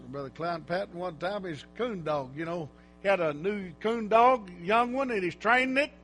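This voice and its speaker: A man preaching informally in church, rambling and folksy, breaking into loud exhortations. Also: high voice, normal speed, soft background music.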